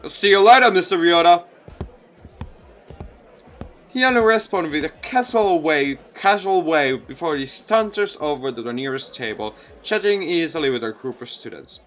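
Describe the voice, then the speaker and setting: A man giving an expressive read-aloud narration of a visual novel game as a let's-player. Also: on the high side, moderate pace, hardly any pauses.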